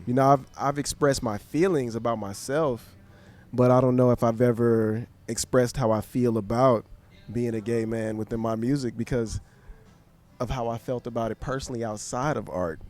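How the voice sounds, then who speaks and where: A young man hosting a podcast, answering in a thoughtful, measured, slightly hesitant way. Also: medium pitch, steady tone, a little mellow. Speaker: podcast host. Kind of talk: conversational interview.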